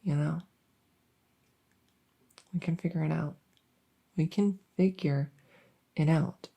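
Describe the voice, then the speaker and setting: A woman playing a silly, harmless female monster under the bed in an ASMR roleplay, soft and often whispering, rambling and repeating herself with playful earnestness. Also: low voice, fairly even intonation, slow pace, creaky, breathy edge, heavily acted. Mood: soothing. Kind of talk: whispery ASMR audio roleplay, addressing the listener.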